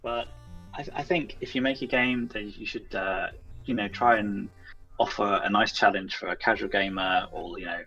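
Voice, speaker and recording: Bright, lowish-voiced man chatting. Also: steady tone, normal speed, highs cut off, faint music behind. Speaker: guest, indie game developer. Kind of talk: casual chat.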